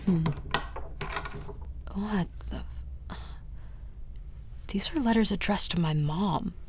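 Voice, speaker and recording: Casting: young female amateur sleuth, wry and driven, naturalistic, average pitch, set in busy background sound. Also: slow pace, background noise. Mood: hushed, shocked. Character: determined, wry young woman searching for answers about family. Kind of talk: naturalistic audio drama acting.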